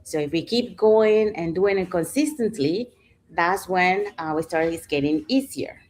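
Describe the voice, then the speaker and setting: A woman's voice: lowish voice, animated, measured pace, a little hiss or hum. Chatty woman from Panama hosting. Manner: warm chatty host with many fillers. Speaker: host. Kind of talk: live online panel talk.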